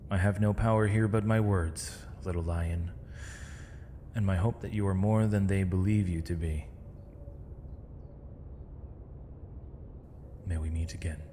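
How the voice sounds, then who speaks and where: A man playing a gentle, weary, eloquent imprisoned mage in an ASMR roleplay, speaking in measured, formal, poetic phrases with thoughtful pauses. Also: deep voice, slight background noise, lightly acted. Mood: calm, philosophical. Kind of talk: ASMR roleplay, intimate dramatic monologue. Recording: long reverb, faint night insect ambience.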